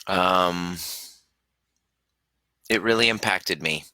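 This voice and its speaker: A man who grew up in Canada after early years in Iran, hosting a live question-and-answer stream in an earnest, halting way with many restarts. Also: sits low, bright, forceful tone, clear, resonant tone, dry recording. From Iran, raised in Canada. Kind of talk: casual live-stream talk.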